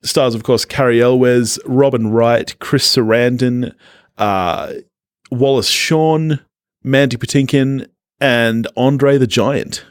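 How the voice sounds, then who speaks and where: A man's voice: medium pitch, steady tone, slow, clean tone, no echo, studio quality. A man co-hosting a casual film podcast, chatting in a giggly, enthusiastic way.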